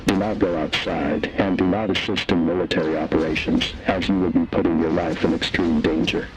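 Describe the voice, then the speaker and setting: Man as low-voiced, stern military announcer. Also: fairly even intonation, loud music behind the voice, lightly acted, low-quality recording. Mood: cold, stern. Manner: formal, measured, detached. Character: stern, impersonal military coalition announcer warning civilians. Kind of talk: scripted emergency broadcast announcement in audio horror.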